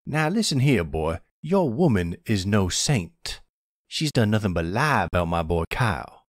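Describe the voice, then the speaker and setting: Man putting on an indignant Southern preacher, swooping pitch. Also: big pitch swings, measured pace, no room sound, clean studio sound. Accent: American South. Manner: folksy, formal, pompous. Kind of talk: audio roleplay acting.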